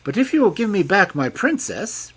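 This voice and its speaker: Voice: medium pitch, big pitch swings, normal speed, a bit bright, husky, voice pushed up, exaggerated voice. A storybook narrator voicing a stubborn, passionate young king who speaks in a raised, emphatic voice. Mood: defiant.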